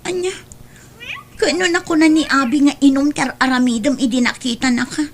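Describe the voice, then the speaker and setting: A woman's voice: high voice, a little fast, slight background noise. A woman playing an aggrieved, long-suffering young wife in an audio drama, with an Ilocano accent. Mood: worried.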